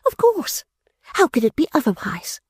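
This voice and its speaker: A woman reader voicing a haughty, sharp-tongued young woman who scolds her sister in a shrill, indignant tone. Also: very animated, unhurried, breathy edge, no echo, clean studio sound. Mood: scornful.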